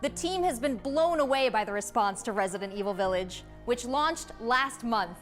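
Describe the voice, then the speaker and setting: High, polished female showcase host. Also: expressive, normal speed, a bit bright, soft background music. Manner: polished, upbeat announcer read. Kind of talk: scripted presentation.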